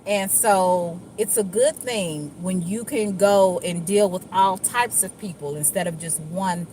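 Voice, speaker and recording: Woman, Dallas accent, slow unhurried drawl, lowish voice, rambling vlog chat. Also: dark tone, rough recording. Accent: Dallas, Texas. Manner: rambling, laid-back, with frequent fillers and restarts. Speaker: vlogger. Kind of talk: casual chat while driving. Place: car. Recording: faint vehicle noise.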